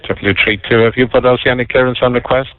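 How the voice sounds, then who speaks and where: A man's voice: medium pitch, flat delivery, fast, dry recording. A man working as an air traffic controller, giving clipped, procedural instructions over radio. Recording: narrow phone-like air traffic radio channel.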